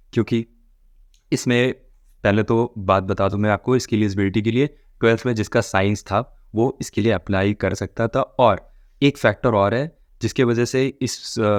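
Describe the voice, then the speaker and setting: Low-voiced man explaining exam updates. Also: dry recording, studio quality. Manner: explanatory, addressing viewers directly. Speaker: exam-update video presenter. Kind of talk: informal explanatory commentary on exam results.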